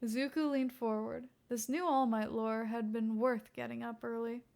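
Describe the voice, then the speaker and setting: A woman's voice: on the high side, steady tone, moderate pace, vocal fry, a little mellow. A woman reading a scripted story aloud as a fan fiction narrator.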